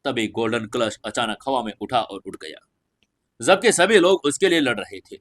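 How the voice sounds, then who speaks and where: A man's voice: medium pitch, sing-song, normal speed, fairly bright tone. A man reading a scripted fantasy audio story as narrator, with emphatic, dramatic storytelling delivery.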